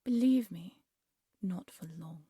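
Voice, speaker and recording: A woman's voice: lowish voice, lively intonation, slow, mellow, slightly rough, no room sound, studio quality, heavily acted. A woman playing a cruel, haughty evil queen in a fairy-tale audio drama, imperious and theatrical. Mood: scheming menace. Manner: imperious, menacing, theatrical commands.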